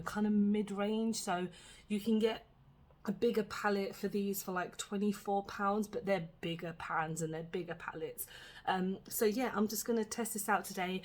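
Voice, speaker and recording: Brief: British woman beauty vlogger, mid-pitched, chatty and rambling, voice slightly husky, recorded at home. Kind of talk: casual makeup tutorial chat.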